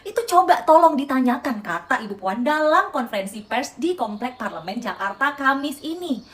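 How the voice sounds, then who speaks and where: A woman's voice: high voice, expressive, moderate pace, a bit bright. A woman host reads out news items and adds sarcastic remarks aimed straight at her viewers. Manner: sarcastic, addresses viewers directly. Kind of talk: reading news with sarcastic commentary.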